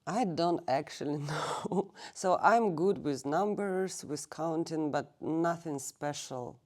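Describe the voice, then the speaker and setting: A woman in her thirties from Russia, a native Russian speaker, telling her life story in an interview at a library and pausing to search for English words. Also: low-pitched, darkish tone. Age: 30s. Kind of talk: recorded oral history interview. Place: public library.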